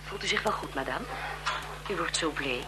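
A woman's voice: deep voice, lively intonation, normal speed. An actress playing a gossipy, chatty female servant to a marquise in a radio drama. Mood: pitying, gossipy. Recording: 1970s radio, crowd noise behind.

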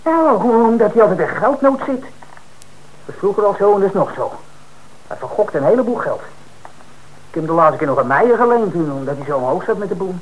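A man's voice: high-pitched, big pitch swings, brisk, pauses often. An actor playing a defensive working-class suspect in a Dutch radio drama, speaking colloquially as he protests his innocence. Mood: indignant, protesting. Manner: colloquial, hesitant, then indignant. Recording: noisy old radio broadcast recording.

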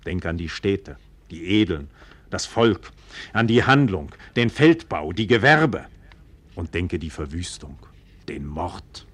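A proud, impassioned nobleman in a classical radio play. He speaks in a fairly low voice with emphatic, theatrical rhetoric, recorded dry without room sound. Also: very animated, takes it slowly, husky, no room sound, heavily acted. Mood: urgent, pleading. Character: proud, trusting, impassioned nobleman scorning caution. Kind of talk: classical German stage drama for radio.

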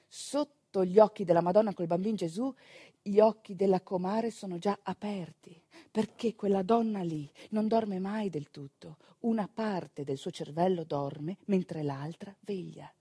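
A woman narrating a theatrical monologue in an expressive, deliberately phrased way. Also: medium pitch, breathy edge, no echo. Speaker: stage actress and narrator.

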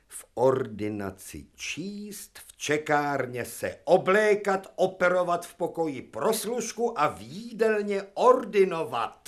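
A high, richly varied voice for an imperious bourgeois professor in a radio play. He speaks formally and precisely. Every phrase carries cultivated sarcasm and authority. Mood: biting sarcasm. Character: haughty male professor surgeon, imperious, sarcastic, old-school bourgeois. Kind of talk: radio drama acting.

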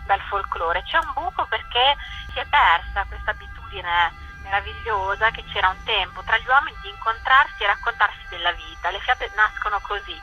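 A woman speaking as a festival director in a conversational interview, explaining things with halting, hesitant pauses. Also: medium pitch, expressive, pushed and bright, dull audio, soft background music.

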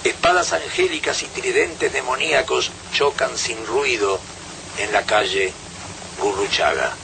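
A man's voice: medium pitch, a little slow, vocal fry, noisy background. A man with an Argentine accent giving a dramatic reading of literary prose.

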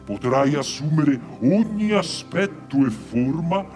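A lordly devil speaks in theatrical radio verse. The voice is fairly high and very unhurried, declaiming over clearly audible music. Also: male voice, expressive, a little mellow. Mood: commanding farewell. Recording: music behind the voice.

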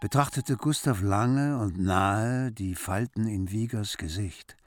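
A man's voice: lowish voice, takes it slowly. A man giving scripted literary narration as the storyteller of a radio play. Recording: music behind the voice. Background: music.

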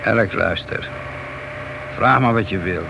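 A man's voice: fairly low pitch, measured pace, gravelly. An actor playing a cautious, by-the-book middle-aged policeman and family man in a radio play, sceptical and reserved. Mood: wary, firm. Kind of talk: radio play dialogue acting. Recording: dull old radio recording with hiss.

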